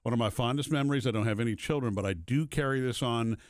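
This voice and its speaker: A man's voice: medium pitch, fast talker, no echo, studio quality. Easygoing man reading listener mail. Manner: easygoing, thinking aloud with fillers. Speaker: podcast co-host. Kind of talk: casual chat, reading listener messages aloud.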